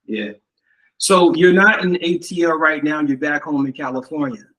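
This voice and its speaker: A man's voice: a little high, normal speed, poor audio. Easygoing male interview host. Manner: easygoing, relaxed, affirming with "yeah" and "wow". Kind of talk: casual interview questions.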